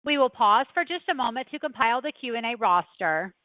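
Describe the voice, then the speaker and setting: A woman's voice: on the high side, expressive, brisk, dry recording. A woman working as a conference call operator, reading scripted announcements. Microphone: telephone. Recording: dry, tinny phone line.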